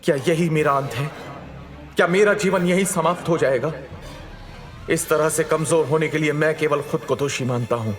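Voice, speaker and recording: A man's voice: fairly high pitch, moderate pace, faint music behind, lightly acted. A man acting a trapped, dramatic young hero. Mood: despairing, self-blaming. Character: trapped heroic young man, despairing yet determined, bewildered. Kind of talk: Hindi audio drama character monologue.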